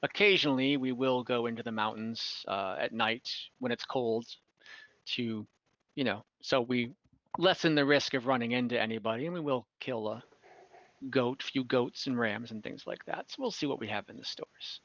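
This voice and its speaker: A man voicing an old kobold leader and grandfather in a tabletop game, speaking politely in halting, measured phrases. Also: mid-range voice, fairly bright tone, no echo, highs cut off, natural voice. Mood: hospitable.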